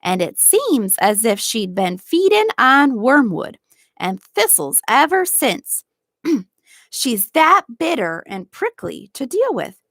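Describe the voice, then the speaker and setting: A woman voicing a kindly, loyal old male gardener in a storybook, speaking in a gentle, deliberate rustic dialect. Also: on the high side, big pitch swings, no room sound, clean studio sound, pitched above the performer's own voice, exaggerated voice. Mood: knowing. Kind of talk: storybook read-aloud.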